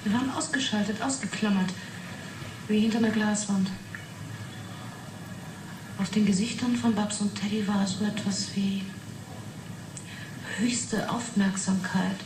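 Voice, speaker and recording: A woman's voice: medium pitch, steady tone, measured pace, long pauses, natural voice. Actress as a fascinated woman witness, roomy, noisy recording. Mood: intent, fascinated. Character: young woman witness recounting an uncanny experiment, matter-of-fact yet fascinated. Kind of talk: German radio play acting. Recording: roomy 1980s radio play, noisy background.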